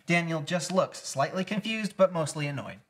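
A man's voice: fairly high pitch, expressive, normal speed, a bit bright. A young adult man reading scene headings and descriptions aloud at a casual table read. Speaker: guest reader of scene descriptions. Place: small indoor room, seated at table.

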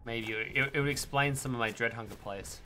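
A man's voice: medium pitch, brisk, bright, forceful tone, a little hiss or hum. A man in his twenties streaming a game, thinking aloud and reasoning under his breath about suspects. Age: 20s. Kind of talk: casual gameplay commentary.

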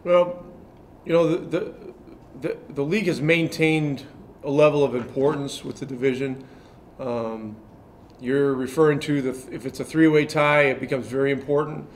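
An older man in his sixties, a head coach answering reporters' questions after a game with a measured delivery and many fillers. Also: fairly high pitch, fairly even intonation, a little hiss or hum. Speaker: head coach at a press conference. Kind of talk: post-game press conference answers. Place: press room in front of a sponsor backdrop.

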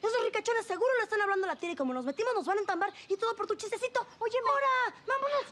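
An actress playing a streetwise, blunt, excitable young woman in a telenovela, speaking slangy, emphatic Spanish. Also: very high-pitched, very fast, a little hiss or hum. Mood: exasperated. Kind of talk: telenovela and film acting, colloquial street slang.

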